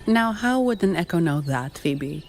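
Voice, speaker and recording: Low-voiced composed young adventurer. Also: female voice, lively intonation, noisy background. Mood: curious, skeptical. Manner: measured, questioning, matter-of-fact. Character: composed, inquisitive young female adventurer, coolly practical. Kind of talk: video game voice acting.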